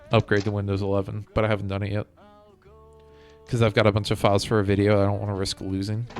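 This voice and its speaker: Casual male game streamer over music. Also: on the low side. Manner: thinking aloud, rambling commentary to viewers. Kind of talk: casual chat while playing a game. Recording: music behind the voice.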